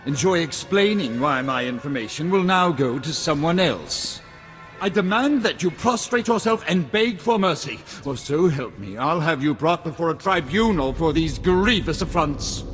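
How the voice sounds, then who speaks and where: A man's voice: a little high, animated, normal speed, a bit bright. Haughty young male noble, pompous, swooping delivery, easily outraged, game cutscene, long reverb. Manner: pompous, formal, indignant. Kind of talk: video game voice acting. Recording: long hall reverb, music underneath.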